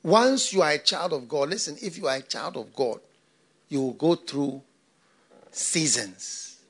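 A middle-aged man from Ghana preaching a sermon into a handheld mic on a church stage, swinging between loud bursts and hushed asides. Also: on the high side, lively intonation, a little slow, breathy edge. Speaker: pastor.

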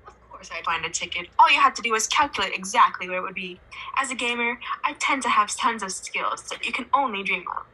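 Woman as a raspy, smug gamer kid puppet. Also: mid-range voice, expressive, fast, sharp tone, rough recording. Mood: smug, bragging. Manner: boastful, condescending. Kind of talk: amateur puppet show acting.

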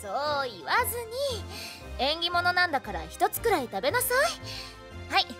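女性が演じる 高めの声の世話焼きなお姉さん. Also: female voice, extremely high pitch, wildly swooping pitch, normal speed, music in the background, heavily acted. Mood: kindly insistent. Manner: gently insistent, feminine phrasing. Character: caring, bossy young woman, big-sister type. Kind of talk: game radio drama acting.

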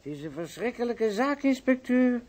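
An actor playing a notary's deferential, hesitant and easily flustered clerk in a Dutch radio drama. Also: male voice, very high voice, sing-song, moderate pace, darkish tone. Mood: eager, deferential. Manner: obsequious, hesitant.

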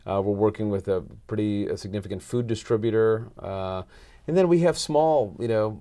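A middle-aged man with an American accent and a fairly low voice talks as a guest in a studio interview. He explains things earnestly and conversationally, slipping into quoted dialogue to make his points. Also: normal speed, dark tone. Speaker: podcast guest, marketing consultant. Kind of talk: conversational interview answers with anecdotes. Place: small indoor podcast studio. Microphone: lapel mic.

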